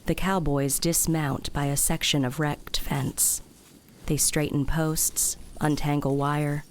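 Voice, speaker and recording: Female narrator for audio drama: deep-pitched scripted storytelling, faint effects noise behind. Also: little pitch movement, slow. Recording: background sound-effect noise.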